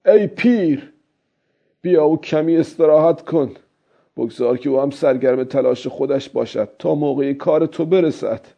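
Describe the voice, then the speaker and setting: A man's voice: fairly high pitch, creaky, mellow, muffled recording, voice pushed up. A man voicing a stubborn, weary old fisherman who coaxes himself emphatically in a dramatic audiobook. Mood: urging, scolding himself. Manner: exclaiming, self-coaxing, emphatic. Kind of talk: dramatic audiobook character acting.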